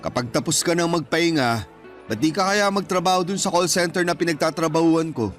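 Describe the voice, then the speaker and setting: A man's voice: fairly high pitch, fairly quick, a bit bright, close to an everyday voice. A man playing a resentful breadwinner brother in his thirties in a Filipino radio drama, with a Filipino accent and an emotional, wounded delivery. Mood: coaxing. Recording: music bed under the voice.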